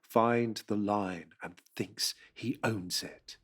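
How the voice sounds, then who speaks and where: A man's voice: fairly low pitch, steady tone, slow, slightly rough. A man giving scripted dramatic narration in an intense, rhythmic way. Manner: intense, rhythmic, second-person at times.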